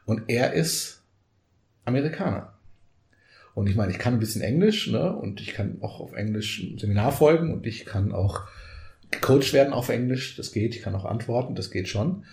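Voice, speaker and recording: A man with a mellow, relaxed tone tells stories with animation on a podcast, recorded in an echoey room. His first language is Portuguese, and he keeps tagging his sentences with a quick "ne?". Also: mid-range voice. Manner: animated storyteller, frequent tag "ne?". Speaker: coaching expert guest. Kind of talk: conversational podcast storytelling.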